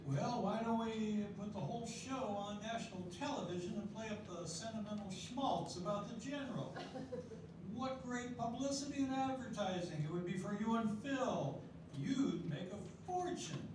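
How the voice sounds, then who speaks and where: A man's voice: sits high, moderate pace, background noise, natural voice. An older man reading the part of a persuasive male showman in amateur readers theatre, recorded from far off in an echoey hall.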